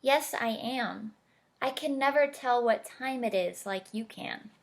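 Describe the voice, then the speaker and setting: A woman's voice: a little high, unhurried, vocal fry, pitched above the performer's own voice. A woman voicing a humble, slightly dim female bird who asks small, earnest questions.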